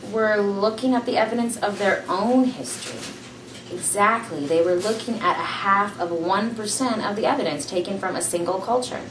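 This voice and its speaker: A young woman reading a novel aloud in a small room, in a plain, steady reading voice, recorded on a camera from across the room. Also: lowish voice. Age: young adult. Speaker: amateur reader. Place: small room indoors, seated on a couch. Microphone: camera mic from across the room. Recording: roomy, distant camera audio with faint hiss.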